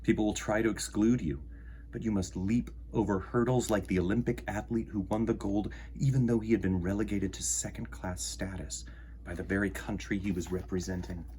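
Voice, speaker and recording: A man hosting a storytime, presenting and reading a children's picture book aloud in measured phrases. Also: lowish voice, steady tone, a little mellow, a little hiss or hum.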